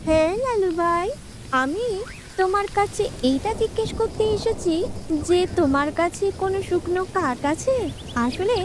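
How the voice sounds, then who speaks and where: A caring cartoon mother bird, played by a woman, with an extremely high voice. She explains things earnestly and politely, sounding a little worried. Clear noise runs behind her. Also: lively intonation, normal speed. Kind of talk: children's cartoon fairy-tale acting.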